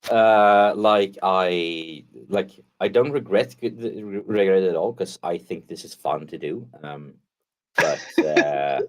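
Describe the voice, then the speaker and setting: A man's voice: low voice, slow. A Swedish man, a native Swedish speaker, chatting casually as a guest on a live stream, with frequent stops and restarts. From Sweden.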